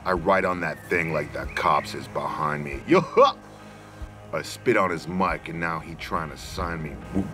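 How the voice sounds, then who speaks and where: A man's voice: rumbling low, moderate pace, fairly bright tone. A man in his forties giving an emphatic, theatrical mock-dramatic reading of rap lyrics. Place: outdoors among trees. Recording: music under the voice. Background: music.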